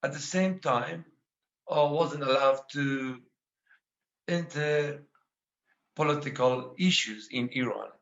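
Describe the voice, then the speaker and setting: Slow, halting Afghan poet with an Iranian accent. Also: male voice, on the high side, gravelly, fairly bright tone, muffled recording. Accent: Iranian-accented English. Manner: halting, many filler pauses. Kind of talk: panel talk about his life and poetry.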